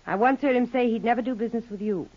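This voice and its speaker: Woman playing a quick-talking sassy radio-noir dame. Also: on the high side, lightly acted. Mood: coolly sassy. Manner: coy, unflappable quips. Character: flirtatious, cool, sassy married woman client. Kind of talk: 1950s radio detective acting. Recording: dull old-time radio recording.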